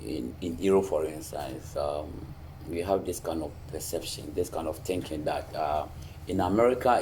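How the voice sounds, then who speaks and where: A deep-voiced man hosts an informal interview. His questions come out halting, with repeated words and a steady stream of short "okay" replies. A faint background ambience runs under his voice. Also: a little hiss or hum. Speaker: interviewer, host. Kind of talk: casual interview.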